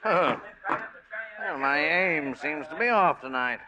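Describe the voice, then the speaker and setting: A man's voice: fairly high pitch, expressive, slow pace, music in the background. An actor playing a genial, sly old man with coaxing, courteous talk in a 1940s radio drama. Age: older. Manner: genial, chuckling, wheedling, old-fashioned courtesy. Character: folksy, sly old man who befriends lonely strangers. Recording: dull old radio recording.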